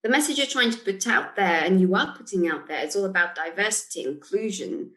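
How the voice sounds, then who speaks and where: A woman's voice: medium pitch, animated, a little fast, a bit bright. A woman in her forties from Portugal, a guest on an interview podcast, thinking aloud and laughing often. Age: 40s. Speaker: guest, solicitor. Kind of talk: casual interview chat.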